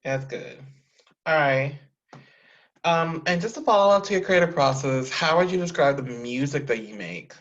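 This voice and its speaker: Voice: medium pitch, lively intonation, moderate pace, fairly bright tone. Upbeat host on a dull lo-fi line. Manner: upbeat, enthusiastic, casual slang. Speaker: interview host. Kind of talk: casual interview hosting.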